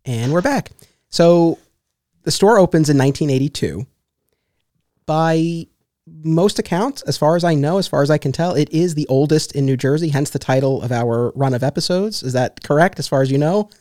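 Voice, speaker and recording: A man's voice: on the high side, normal speed, warm tone, no echo, clean studio sound. A man hosting a podcast, reading sponsor spots and then interviewing a guest in an attentive, friendly way. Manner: friendly, attentive, clear presenter. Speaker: podcast host. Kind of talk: read ads then casual interview.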